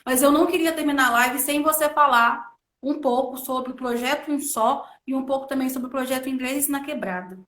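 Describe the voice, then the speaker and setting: A woman's voice: sits high, steady tone, fairly quick, hardly any pauses, a bit bright. A woman from Brazil hosting a live stream, speaking in a casual conversational way and leaning on fillers as she talks. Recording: echoey room.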